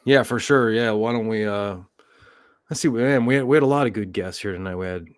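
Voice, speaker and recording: A young man co-hosting a live high school football show, talking in a loose, rambling way with many fillers and losing his voice. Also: brisk, clear, resonant tone. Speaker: co-host. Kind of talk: live sports show chat.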